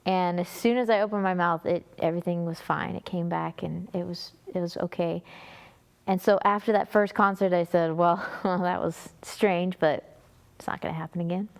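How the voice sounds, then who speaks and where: Lower-pitched young North American woman. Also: steady tone, normal speed, clean tone. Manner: earnest, conversational storytelling. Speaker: guest, music ministry singer. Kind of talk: personal testimony in an interview.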